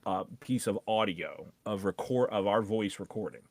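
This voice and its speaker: A man coaching in a conversational live discussion, explaining in a halting way with repeated words. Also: lowish voice, darkish tone, dry recording. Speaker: voiceover coach and co-host.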